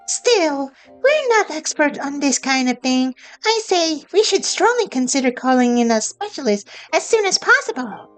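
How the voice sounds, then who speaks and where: A woman voicing a sly, worldly talking snake in a video game, with an unhurried, knowing manner. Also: high voice, very animated, fairly bright tone, soft background music, exaggerated voice, low-quality recording. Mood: measured, advising.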